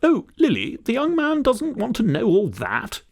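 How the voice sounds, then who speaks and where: A man voicing a kindly old lady from a storybook, fussing and gently chiding. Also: very high-pitched, huge pitch swings, moderate pace, slightly rough, voice pushed up, full character voice. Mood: fussing, teasing.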